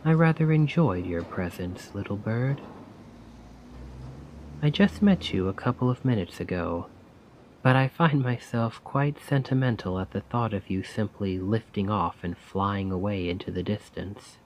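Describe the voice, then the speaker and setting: A soft-spoken man playing a melancholy, self-doubting poet in an ASMR roleplay, musing wistfully in formal, poetic words with a breathy voice. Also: on the low side, unhurried, slight background noise, natural voice, low-quality recording. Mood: wistful, tender.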